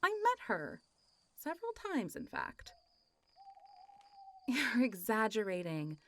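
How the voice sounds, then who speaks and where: A woman's voice: on the high side, wildly swooping pitch, slow pace, heavily acted. A woman playing a friendly, playful centuries-old zombie girl in a roleplay audio, chatting and teasing as if to one listener. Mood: insistent, amused. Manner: chatty, teasing, frequent giggles and sighs, hesitant asides. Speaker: voice actor.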